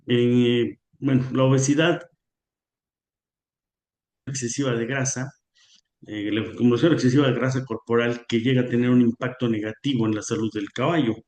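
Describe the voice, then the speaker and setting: A man's voice: medium pitch, fairly even intonation, moderate pace, pauses often, darkish tone. A man giving an online conference lecture, hesitant with many fillers and a slightly sore throat. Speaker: guest lecturer on equine nutrition. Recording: roomy echo.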